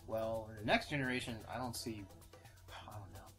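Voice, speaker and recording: A man's voice: on the low side, fairly even intonation, brisk, a bit bright, faint music behind. A young man chatting casually with a friend, hesitant and giggly, making fun of himself. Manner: hesitant, giggly, self-mocking. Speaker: guest friend. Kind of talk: casual chat.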